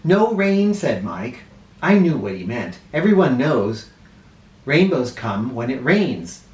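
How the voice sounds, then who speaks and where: A man tells a children's story in a roomy, echoing space, some distance from the microphone. His delivery swings widely in pitch, theatrical and animated, leaning on key words with drawn-out emphasis. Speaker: children's read-aloud storyteller. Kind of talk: expressive storybook read-aloud. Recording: roomy echo, distant mic.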